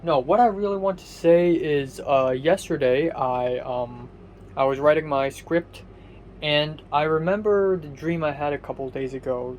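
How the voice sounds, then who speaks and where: A young man giving a casual diary vlog from his apartment. His voice is muffled and raspy, and he talks hesitantly, rambling with many ums and restarts. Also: medium pitch, darkish tone, a little hiss or hum. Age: young adult. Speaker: vlogger.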